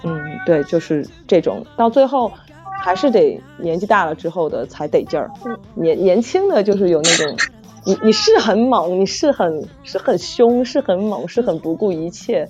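Animated woman on muffled audio. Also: lowish voice. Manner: halting, repeats phrases, self-deprecating giggly. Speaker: novel author, guest. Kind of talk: casual unscripted chat. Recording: muffled lo-fi audio, music behind. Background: saxophone background music.

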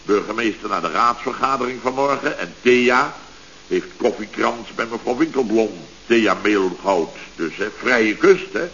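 A man's voice: lowish voice, expressive, a little slow, a bit bright. An actor playing a bumbling, self-important male contractor boss in a radio comedy, stammering and repeating himself as he gives orders. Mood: pompous, plotting. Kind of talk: 1970s Dutch radio comedy serial acting. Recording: dull, noisy vintage radio recording.

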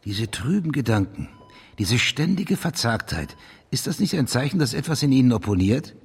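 An actor playing an insistent senior policeman who also narrates a radio thriller, measured and intense. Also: medium pitch, very animated, slightly rough, no echo, exaggerated voice. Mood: urgent, probing. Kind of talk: radio drama dialogue and first-person narration.

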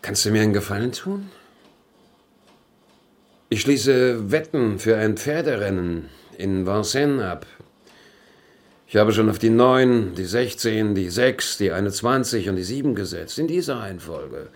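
An actor playing a corrupt, self-pitying official who muses and wheedles in a German radio drama. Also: male voice, on the low side, lively intonation. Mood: musing, confiding. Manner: musing, slow, wheedling.

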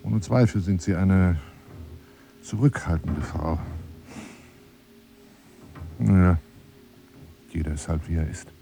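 An actor playing a lonely, boastful gentleman courting by telephone in formal, flowery German radio drama style, moving between murmurs and outbursts. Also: low-pitched, takes it slowly, creaky, long pauses, faint music behind, exaggerated voice. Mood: resigned, courting. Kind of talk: radio drama monologue as one side of a phone call.

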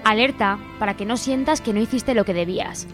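A woman co-hosts a radio show in a fairly high voice. Her delivery is presenterly and partly scripted. Light music runs behind her studio microphone. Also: fairly quick, a bit bright.

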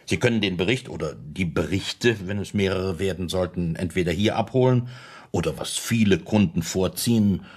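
Deep-voiced, pompous private detective. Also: male voice, darkish tone, breathy edge. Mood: officious, obliging. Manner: officious, formal, repetitive, hesitant pauses. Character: pompous, obliging private detective with stiff formal manners. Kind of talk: German radio play acting.

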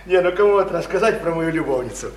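A man's voice: a little high, lively intonation, fast, natural voice. An actor in a radio play playing a crude provincial man who taunts his mistress, mocking, boastful and given to repeating himself. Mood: smug, lecturing. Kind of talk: theatrical radio play acting. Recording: echoey stage-like radio play, music behind.

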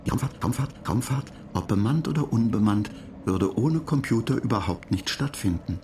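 A man's voice: on the low side, expressive, moderate pace, a little mellow, a little hiss or hum, lightly acted. A man playing a dutiful data-agent machine that lectures in a matter-of-fact, pedantic way in a radio play. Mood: instructive. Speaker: narrator.

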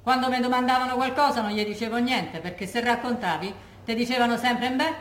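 A woman's voice: fairly high pitch, fairly quick, natural voice. An actress playing a working-class Roman widow, telling her wartime testimony in a colloquial, vivid monologue with a Roman accent, acting out remembered dialogue. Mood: guarded, bitter. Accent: Roman Italian. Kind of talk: theatrical monologue as oral testimony. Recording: echoey room.

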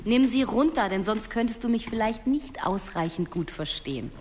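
An actress playing a strict, weary schoolteacher who talks in a dry, sarcastic and firm way. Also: female voice, fairly high pitch, animated, moderate pace, a little mellow, a little hiss or hum, natural voice. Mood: stern, sarcastic. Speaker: teacher. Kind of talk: radio drama acting.

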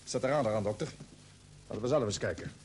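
A man's voice: fairly low pitch, fast talker, darkish tone, husky. An actor playing a decisive, questioning male spaceship captain in an old radio play. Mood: firm, concerned. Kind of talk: mid-century Dutch radio play acting. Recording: noisy old radio drama recording.

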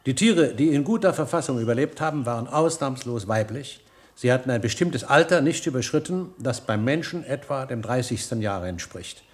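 An actor playing a confident, calculating scientist and physician in a German radio drama, brisk, clinical and assured. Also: male voice, mid-range voice, lively intonation, close to an everyday voice. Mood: confident, persuasive.

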